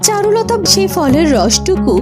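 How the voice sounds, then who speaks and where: A female narrator reads a scripted fairytale in a storytelling role. Music plays clearly behind her voice. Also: very high voice, very animated, unhurried.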